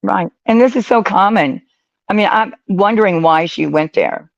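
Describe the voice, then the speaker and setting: A woman's voice: medium pitch, moderate pace, creaky, dry recording, muffled recording. A woman co-host chatting casually and agreeing along as she comments.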